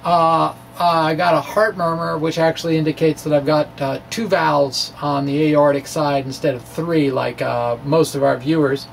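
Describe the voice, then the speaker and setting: A middle-aged man doing a dramatic reading of a science blog, halting and wry, with informal self-deprecating asides. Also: a little high, flat delivery, vocal fry. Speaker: science video blogger. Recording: distant mic, faint background noise.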